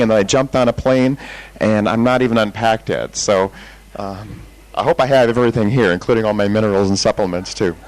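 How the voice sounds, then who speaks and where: A man from Wisconsin tells the room who he is with light humor. His voice is mid-pitched and dry, with little room sound around it. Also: darkish tone, no echo, poor audio. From Wisconsin, USA. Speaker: seminar attendee. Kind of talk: self-introduction.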